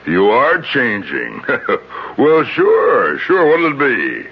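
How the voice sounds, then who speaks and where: A man's voice: medium pitch, huge pitch swings, slow, slightly rough, heavily acted. An actor playing a smug, domineering, crude crook in a 1970s radio drama, with slangy tough-guy talk. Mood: amused, jovial. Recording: muffled old radio broadcast, faint noise.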